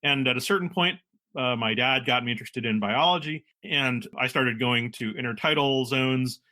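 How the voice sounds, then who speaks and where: A middle-aged man from California, a marine biologist guest speaking in a measured, explanatory way with frequent pauses and fillers. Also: medium pitch, sharp tone. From San Francisco, California. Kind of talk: relaxed interview talk.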